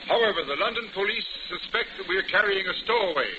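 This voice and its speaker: An actor playing a formal, reassuring ship's first officer in a 1940s radio drama, speaking in a placating manner. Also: male voice, high voice, expressive, quick pace. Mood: earnest, placating. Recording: muffled, noisy old radio broadcast.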